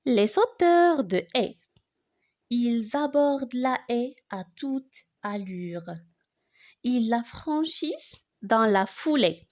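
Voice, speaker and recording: A woman reciting a poem for learners in an expressive way, phrasing it deliberately line by line. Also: a little high.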